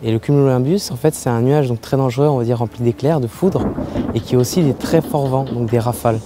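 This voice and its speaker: A young man from French Guiana, a pilot speaking earnestly in an interview, with pauses and fillers. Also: mid-range voice, warm tone, soft background music. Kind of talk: interview testimony.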